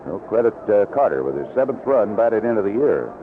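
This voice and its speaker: A man working as a play-by-play announcer, giving live 1970s baseball radio commentary, unhurried in description and more animated on the action. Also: on the low side. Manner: unhurried description between plays, rising excitedly on action. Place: outdoor ballpark broadcast booth. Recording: noisy, tinny vintage AM radio broadcast.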